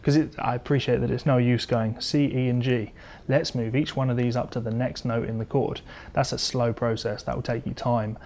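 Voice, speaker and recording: A man's voice: medium pitch, fairly even intonation, normal speed, few pauses, darkish tone, a little hiss or hum. A man giving an informal guitar lesson, explaining step by step as he demonstrates. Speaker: guitar teacher. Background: electric or acoustic guitar being played.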